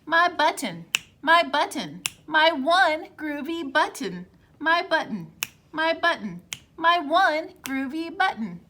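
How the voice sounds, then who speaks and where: A woman's voice: high-pitched, very animated, slow pace, pushed and bright, heavily acted. A woman singing the part of a cheerful, cool male cat from a children's storybook in a sing-song chant.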